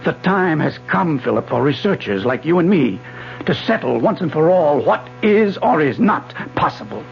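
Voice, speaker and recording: A man's voice: on the high side, sing-song, moderate pace, gravelly. An actor playing an obsessed retired physics professor in a radio drama, an older man with a grave, formal, emphatic manner. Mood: earnest, persuasive. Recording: dull lo-fi vintage radio broadcast, music.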